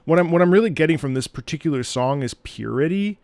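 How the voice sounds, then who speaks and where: A man's voice: on the high side, expressive, fast, darkish tone, no room sound. A man in his thirties giving casual reaction commentary in a musing, thoughtful way that often trails off. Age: 30s. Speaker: reactor and host, opera singer.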